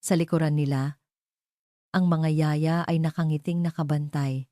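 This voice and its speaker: A woman tells a scripted romance in a low, mellow voice. Her phrasing is sentimental and dramatic. The sound is dry and close, without room echo. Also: steady tone, unhurried. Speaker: storyteller, narrator.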